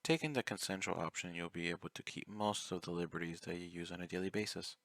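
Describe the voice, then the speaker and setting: Casting: male actor as obsessive yandere stalker for ASMR roleplay; low, close and dry, coaxing, politely menacing. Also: fast, vocal fry, fairly bright tone, no room sound. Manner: intimate, coaxing, courteous while threatening. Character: obsessive, clingy, soft-spoken young male yandere fan, politely menacing.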